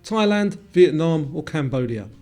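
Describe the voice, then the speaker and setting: A man's voice: a little high, lively intonation, measured pace, dark tone. A man presenting a quiz as scripted narration, reading out questions and answers. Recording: music bed under the voice. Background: music.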